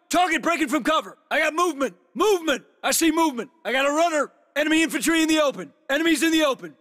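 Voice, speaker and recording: An actor playing a tough, focused special forces soldier, giving terse combat callouts for a military shooter game. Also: male voice, very high-pitched, bright, forceful tone, exaggerated voice. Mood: alert, urgent. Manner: clipped, terse radio-style military phrases.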